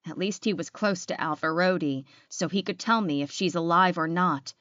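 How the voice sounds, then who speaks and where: A woman's voice: on the low side, normal speed, fairly bright tone, dry recording, dull audio. A woman reading a scripted audiobook, narrating dramatic fiction in the first person.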